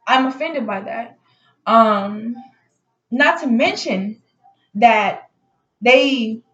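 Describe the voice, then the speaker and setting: A woman telling a personal story casually, in an animated, indignant way, acting out dialogue as she goes. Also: sits high. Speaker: storytelling vlogger. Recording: muffled audio in echoey room.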